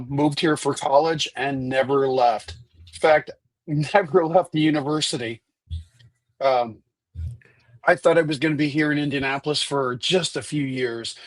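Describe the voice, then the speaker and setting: A man in his fifties, stuffy and husky from allergies, answering questions as a candidate on a video call, chatty with frequent restarts. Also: medium pitch, no room sound, rough recording. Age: 50s. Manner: chatty, restarts and repeats words. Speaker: state senate candidate. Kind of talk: virtual town hall answers.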